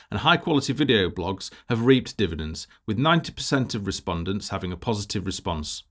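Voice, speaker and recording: Lively-pitched man narrating a scripted presentation. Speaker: game project lead, narrator.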